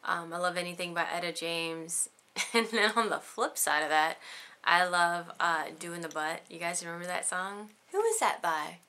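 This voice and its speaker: A woman in her thirties talks casually through a list of questions, rambling and giggling with plenty of ums. Her voice sits a little low but sounds bright and forward. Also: moderate pace. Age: 30s. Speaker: beauty vlogger. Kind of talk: casual chat answering tag questions.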